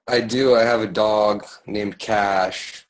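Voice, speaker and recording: A man's voice: on the low side, steady tone, slow, slight background noise, poor audio. A man in his thirties, a music producer and DJ, giving thoughtful, hesitant interview answers full of fillers and restarts. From born in London, raised in Paris and New York. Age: 30s.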